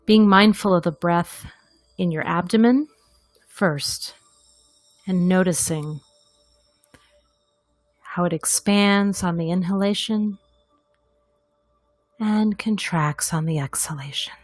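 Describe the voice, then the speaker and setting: A woman guides a meditation in a gentle, deliberate way. Her pace is very slow, with long pauses, and her voice sits a little low. The sound is dry and close, with little room around it. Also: no echo, faint music behind.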